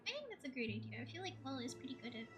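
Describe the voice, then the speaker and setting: High-voiced, hesitant woman game streamer. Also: sing-song, very fast, fairly bright tone, slight background noise. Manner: hesitant, giggly, thinking aloud. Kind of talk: casual live game commentary.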